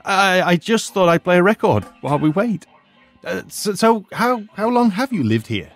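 An actor playing a nervous teacher who fakes a posh British accent, stammering and overly polite. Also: male voice, sits high, very animated, normal speed, soft background music. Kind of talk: audio drama acting.

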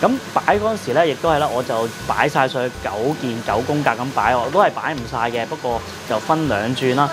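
A man's voice: medium pitch, animated, brisk, few pauses, music in the background. A middle-aged man with a Hong Kong Cantonese accent, giving a chatty, explanatory food review in a casual vlog style. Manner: chatty, explanatory, frequent sentence particles. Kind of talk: casual restaurant review narration.

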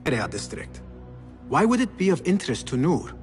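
An earnest young man training as an assassin in a video game. He is polite and curious, with a fairly high-set male voice. Music plays clearly behind him. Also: sing-song, brisk. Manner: polite, questioning. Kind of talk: cinematic video game acting.

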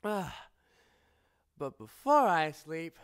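A man's voice: fairly high pitch, big pitch swings, takes it slowly, smooth. A man playing a cocky, teasing fire-wielding boyfriend. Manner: teasing, playful, drops to close whispers. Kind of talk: ASMR boyfriend roleplay.

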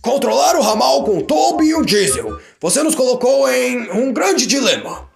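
A man's voice: extremely high pitch, big pitch swings, normal speed, gravelly, pitched above the performer's own voice, full character voice. Stern, fair older controller for a cartoon, authoritative, pompous, widely inflected man performing. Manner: authoritative, emphatic.

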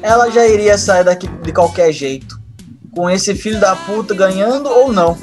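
A man's voice: high-pitched, lively intonation, normal speed, over music. A man playing a resentful, worldly campaign fixer in an audio drama, speaking bluntly.

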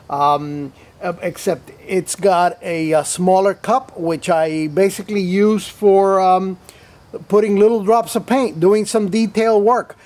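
A man's voice: sits high, darkish tone. A man hosting an airbrush tutorial, talking casually while he shows the tool at a table, rambling with fillers, restarts and playful asides. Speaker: host of an airbrush tutorial show. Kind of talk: casual product demonstration. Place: indoor table-top studio, hands-on demo.

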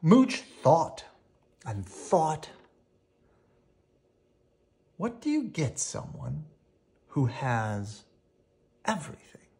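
A bedtime story read aloud slowly and expressively. The pitch swings widely, with a theatrical, playful delivery and deliberate pauses, at a middle pitch. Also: wildly swooping pitch, mellow. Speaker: storybook reader.

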